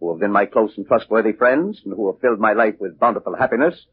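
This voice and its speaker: An actor playing a formal, firm lawyer in a 1940s radio thriller. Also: male voice, lowish voice, quick pace, husky. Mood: solemn, formal. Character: formal, firm male lawyer reading a will. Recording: muffled, narrowband 1940s radio recording.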